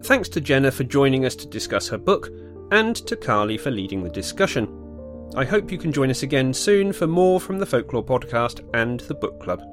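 Lively man reading a podcast outro. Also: medium pitch, moderate pace, soft background music. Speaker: podcast host. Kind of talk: scripted outro.

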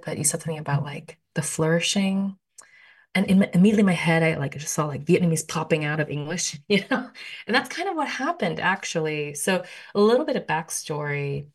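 Low-voiced woman, animated and chatty. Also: husky. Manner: animated, thinks aloud with many "like" fillers. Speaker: poet, interview guest. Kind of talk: conversational interview answer.

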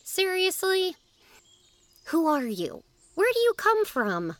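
A female performer playing a bold, sassy, adventurous young girl in a children's audio drama, excitable and teasing. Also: very high voice, slow pace, no echo, exaggerated voice.

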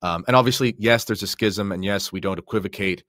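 A deep-voiced man talks as a guest commentator on a podcast. He is informal and somewhat halting, thinking aloud, in a dry recording. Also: fairly bright tone, no echo. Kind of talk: casual conversational commentary.

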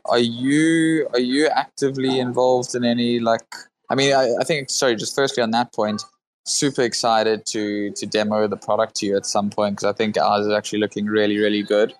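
A man's voice: on the low side, steady tone, moderate pace, a little hiss or hum, poor audio. A man with a South African accent hosting a casual online audio chat, talking loosely with many fillers and restarts. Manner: filler-heavy, restarts often. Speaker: host. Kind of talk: casual Twitter space chat.

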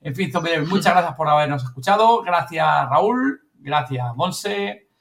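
A man's voice: on the high side, lively intonation, moderate pace, fairly bright tone, slight background noise. A man with a Spanish accent hosting a podcast in casual, chatty, informal talk. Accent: Spain. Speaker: podcast host.

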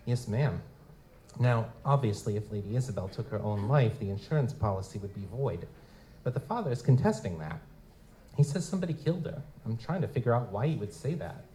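A man's voice: medium pitch, very animated, brisk, dark tone, lightly acted. A man playing a wry, wisecracking goblin private eye in a hard-boiled noir audio drama. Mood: polite, probing. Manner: wry, feigns hesitant politeness. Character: wisecracking male lutin goblin private investigator, narrating noir-style. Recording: noisy live stage recording.

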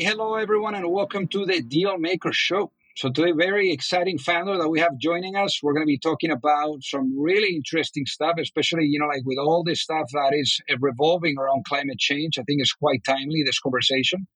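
A man's voice: a little high, a bit bright, husky, no echo, poor audio. A man hosting a podcast interview, chatty and full of fillers. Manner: chatty, many fillers like "you know". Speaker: podcast host. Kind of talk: casual interview.

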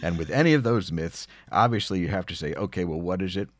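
A man's voice: low voice, fast talker, no room sound. A man from near Philadelphia interviewing a guest, thoughtful and hesitant with many uhs, laughing readily. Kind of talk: casual interview conversation.

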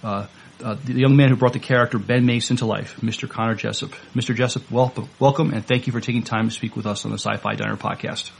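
A man's voice: medium pitch, fairly even intonation, fast talker, creaky, a little hiss or hum. A man hosting a podcast interview, introducing and questioning his guest in a courteous, somewhat formal way.

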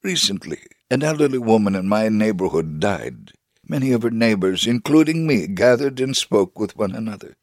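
A man giving a dramatic reading as a devout Holocaust survivor telling his story, measured, solemn and earnest. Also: lowish voice, lively intonation, dry recording, studio quality. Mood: solemn, assured. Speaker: dramatic reader. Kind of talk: dramatic first-person memoir reading.

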